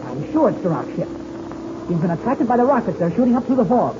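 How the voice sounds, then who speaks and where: A man's voice: high voice, very animated, fast talker, dull audio, background noise. A young man playing an eager, boyish pilot in a 1930s radio serial, earnest and full of gee-whiz exclamations, on an old radio recording. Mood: excited.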